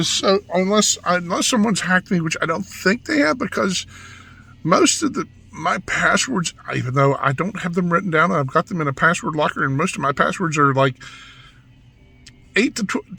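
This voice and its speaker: A man with a hoarse, raspy voice, talking through a casual vlog in a rambling monologue full of restarts and asides. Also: sits high, sing-song, moderate pace, bright, forceful tone. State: hoarse from yelling. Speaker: vlogger.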